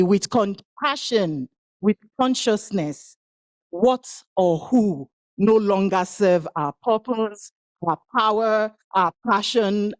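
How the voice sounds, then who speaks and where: Slow, incantatory woman speaking poetry. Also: medium pitch, no echo. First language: Igbo. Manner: rhythmic, incantatory delivery with long pauses. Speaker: speaker at a women's event. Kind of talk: spoken-word poetry performance.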